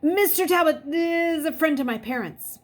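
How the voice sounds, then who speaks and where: A woman voicing a frightened boy in an audiobook, pleading urgently and haltingly. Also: sits high, lively intonation, pitched above the performer's own voice.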